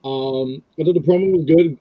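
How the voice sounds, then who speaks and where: A man's voice: fairly high pitch, moderate pace, narrow, tinny audio. A man, co-hosting a casual wrestling review, rambling and chatty with lots of ums. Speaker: podcast co-host.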